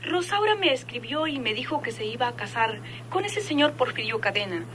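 A woman's voice: a little high, big pitch swings, a little fast, fairly bright tone, a little hiss or hum. A woman playing a polite elder sister on the telephone in a radio serial. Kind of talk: radionovela acting.

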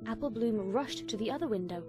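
A woman's voice: on the high side, expressive, a little fast, over music. A woman reading a scripted story as a dramatic fan fiction narrator.

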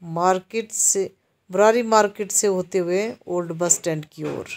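A woman's voice: on the low side, slight background noise. A woman from Himachal Pradesh narrating a casual walking tour of a busy outdoor market, explaining the shops to viewers as she films while walking. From Himachal Pradesh, India. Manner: slow, even-toned, explaining to viewers. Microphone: handheld camera while walking.

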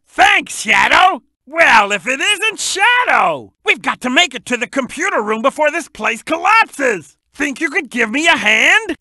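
A shouty cartoon croc detective for a video game, super high for a man, sharp and blaring, bossy n excitable. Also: no echo, studio quality, exaggerated voice. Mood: excited, urgent. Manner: shouty, emphatic, blustering. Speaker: video game character giving hints. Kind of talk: animated video game voice acting.

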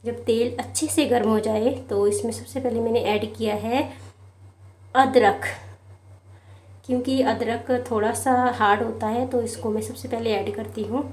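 A woman's voice: on the high side, fairly even intonation, a little slow, darkish tone, roomy sound. A woman hosting a home cooking channel, talking viewers through a recipe in a casual, friendly way. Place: home kitchen.

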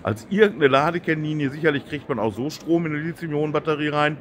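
A man's voice: a little high, fast talker. A man hosting a casual interview at a trade fair, chatty and friendly with lots of agreeing. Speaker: host. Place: exhibition hall.

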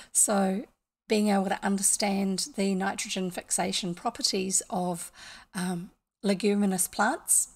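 Gravelly older New Zealand woman chatting. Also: medium pitch. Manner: rambling, explanatory, with frequent asides and restarts. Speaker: streamer. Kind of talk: casual storytelling chat. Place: small home room, indoors.

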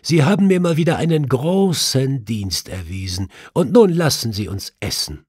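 A man voicing a brisk, commanding detective with a formal, emphatic manner in an audiobook. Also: medium pitch, expressive, a little mellow, slightly rough, dry recording, voice pushed up. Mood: emphatic, explaining. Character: brisk, commanding male detective, urgent and superior.

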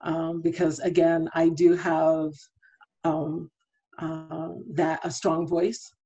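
A middle-aged woman speaking as a counselor on a panel over a video call from home. She speaks in a measured way with many fillers. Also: low-pitched, monotone, warm tone, slightly rough, faint music behind. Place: room at home. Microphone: laptop webcam on a video call. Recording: muffled video call audio.

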